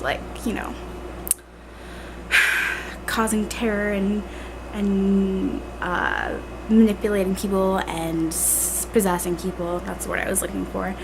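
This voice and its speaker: A woman's voice: mid-range voice, vocal fry, background noise. A young woman with a congested, tired voice chats casually at home, full of fillers like "like" and "um". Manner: chatty, filler-heavy, self-deprecating. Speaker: vlogger. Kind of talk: casual rambling vlog. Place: at home indoors. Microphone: handheld camera at arm's length.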